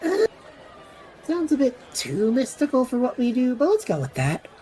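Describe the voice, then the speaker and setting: A woman's voice: high-pitched, wildly swooping pitch, moderate pace, mellow, soft background music. A woman voicing a cocky, flippant young male student adventurer in an audio drama, breezy, sarcastic and slangy.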